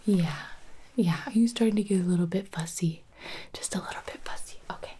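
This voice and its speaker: A woman doing an ASMR roleplay as a baby photographer, whispering softly and cooing as if to a baby. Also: on the low side, brisk, noisy background.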